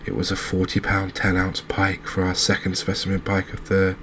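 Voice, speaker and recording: A soft-spoken man narrating a gaming recap in a calming, hesitant ASMR style. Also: deep voice, moderate pace, slight background noise. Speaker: host of a cozy gaming channel.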